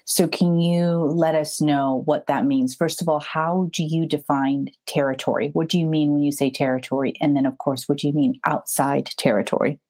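A woman hosting an interview, asking questions in a measured, polite way. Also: low voice, fairly even intonation, dark tone. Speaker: interviewer, host.

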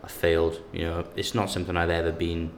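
Really deep guy chatting, lots of restarts, bit of hiss behind. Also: little pitch movement, fast talker. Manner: hesitant, many restarts, emphatic bursts. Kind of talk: casual academic discussion.

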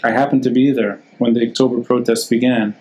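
A man hosts a live, unedited podcast interview in a mid-range voice. His talk is informal and hesitant, and he thinks out loud as he goes, chatting with a guest over a video call. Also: mid-range voice, level tone, brisk, low-quality recording. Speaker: podcast host. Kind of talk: unscripted live interview, casual chat.

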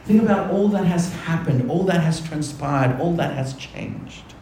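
Guy preaching, pretty high voice, lots of room echo, asks the crowd questions, slows way down to make a point. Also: lively intonation, dark tone, a little hiss or hum. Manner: preaching with rhetorical questions to the congregation; swings between quick asides and slow, low emphatic phrases. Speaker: pastor. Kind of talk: sermon.

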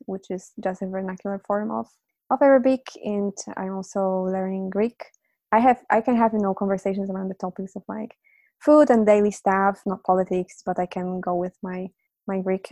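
A woman's voice: medium pitch, vocal fry, no room sound. A woman in her thirties, a native Polish speaker, reflectively answering interview questions about teaching and learning, thinking aloud as she goes. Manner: reflective, thinking aloud with fillers and soft laughs. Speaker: interview guest, language teacher and psychologist.

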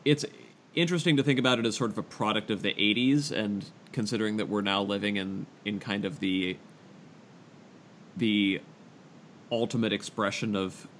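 A man hosting a podcast in casual discussion, halting and self-deprecating, repeating phrases as he works out his thought. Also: on the low side, a bit bright, slight background noise. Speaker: podcast host.

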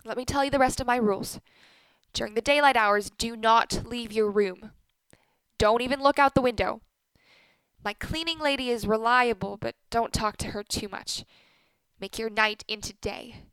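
Actress as a commanding, sharp-tongued mother, higher-pitched. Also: normal speed, clean tone, no room sound, poor audio. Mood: firm, controlling. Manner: commanding, clipped, pointed. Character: Miriam, stern married Turkish woman, a controlling, sharp-tongued mother. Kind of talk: radio play acting.

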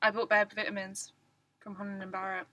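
Bright giggly young woman. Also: mid-range voice, little pitch movement, normal speed, no echo, dull audio. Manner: giggly, self-mocking, trails off with ums. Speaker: podcast co-host. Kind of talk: casual chat.

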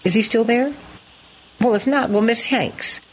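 A woman's voice: on the high side, unhurried, husky, poor audio. A woman hosting a spontaneous panel discussion, speaking emphatically and repeating phrases for emphasis. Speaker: host.

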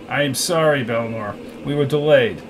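A man's voice: medium pitch, normal speed, natural voice. Male narrator as loyal rebel officer, terse, assertive, firm, faint background noise. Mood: apologetic, assuring.

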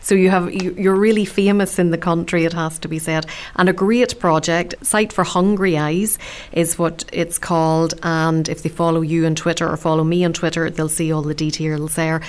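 A woman's voice: deep voice, steady tone, moderate pace, smooth. A woman with a Ballymena accent from Northern Ireland, hosting a radio interview in a direct, friendly way. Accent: Ballymena, Northern Irish. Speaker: radio host.

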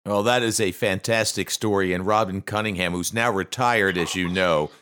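A man's voice: deep voice, steady tone, normal speed, fairly bright tone, smooth. A middle-aged man hosting an interview, asking questions and praising his guest's story. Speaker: interview host. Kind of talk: friendly interview.

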